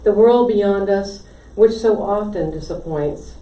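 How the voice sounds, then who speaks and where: A woman's voice: mid-range voice, measured pace, mellow. A woman reading a poem aloud at a live poetry reading. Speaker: poetry reader. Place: indoor library poetry reading. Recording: echoey room, distant mic, faint noise.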